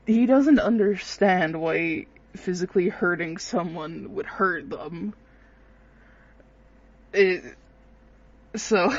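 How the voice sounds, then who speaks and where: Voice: mid-range voice, a little mellow, no room sound. A person giving casual, hesitant, rambling commentary on horror games in an informal way. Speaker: video creator, commentator. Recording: dull lo-fi microphone sound.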